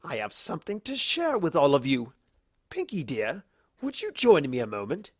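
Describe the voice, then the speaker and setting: A narrator voicing a suave, mischievous male cartoon trickster in a story reading, with slow, deliberate, smug playfulness. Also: medium pitch, huge pitch swings, no echo, studio quality, voice pushed up. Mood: grand, inviting.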